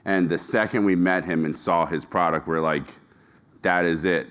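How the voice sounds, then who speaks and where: A man hosting a live conference introduces the next speaker with informal enthusiasm. His voice is very deep, smooth and clear. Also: steady tone, moderate pace, fairly bright tone. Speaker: event host. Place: conference hall.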